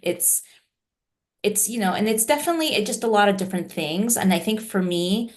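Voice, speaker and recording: A woman's voice: mid-range voice, lively intonation, fairly quick. A woman therapist raised in the United States, talking reflectively in an interview, with many "you know" and "right?" fillers. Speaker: therapist guest.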